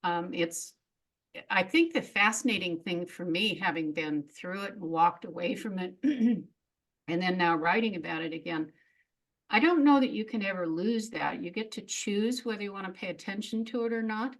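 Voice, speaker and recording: A woman's voice: medium pitch, moderate pace, rough recording. A middle-aged woman speaking as a guest in a casual interview, frank and reflective, often laughing at herself. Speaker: memoirist guest.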